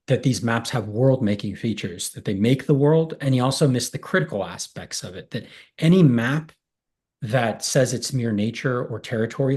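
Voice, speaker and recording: Husky-voiced man explaining ideas on a video call. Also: mid-range voice, a little mellow. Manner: thoughtful, explanatory, builds long lists. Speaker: guest, political science professor. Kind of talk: academic interview on a video call. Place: small home room with bare wall. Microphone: earphones with cable mic on a video call.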